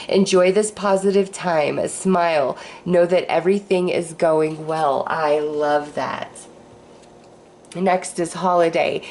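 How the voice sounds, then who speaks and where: A woman with a hoarse voice from a cold, giving a casual, upbeat astrology forecast while pulling tarot cards. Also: lowish voice, a little mellow, a little hiss or hum. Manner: breezy and upbeat, with sighs and fillers. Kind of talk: casual spiritual forecast with card pulls.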